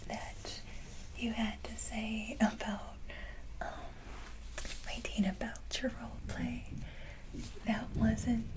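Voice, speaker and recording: Slow, whispering woman in ASMR style. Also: a little high, rough recording. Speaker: ASMR video creator. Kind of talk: softly whispered ASMR, casual talk to viewers.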